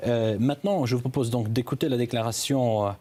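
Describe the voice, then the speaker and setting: A man's voice: medium pitch, fairly even intonation, mellow. A man giving live news analysis as a correspondent, hesitant, often restarting his words. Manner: halting, hesitant delivery with repeated word starts.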